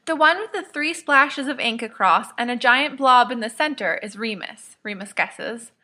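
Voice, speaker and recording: A woman reads fiction as a narrator. Her voice is crisp-edged and bright, pitched a little high. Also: normal speed. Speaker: podfic reader, narrator.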